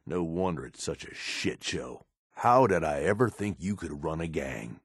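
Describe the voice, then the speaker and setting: A low, gruff American male raider from a post-apocalyptic video game, recorded close and dry. He is a cynical, loyal henchman who talks bluntly in coarse street slang. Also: lively intonation, normal speed, no echo, exaggerated voice. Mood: contemptuous. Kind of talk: video game companion voice lines.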